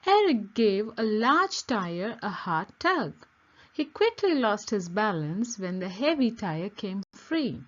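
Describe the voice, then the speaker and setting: A woman reading a children's picture book aloud in a measured, expressive way. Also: medium pitch, highs cut off. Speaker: storybook reader. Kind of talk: children's read-aloud narration.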